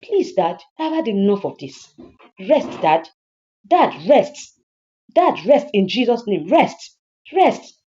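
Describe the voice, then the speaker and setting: A woman's voice: fairly high pitch, sing-song, fairly quick, dark tone, highs cut off, pitched above the performer's own voice. A woman voicing a defiant young daughter in a story, fiercely loyal and insistent, repeating herself. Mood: fed up, defiant. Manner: insistent, repetitive.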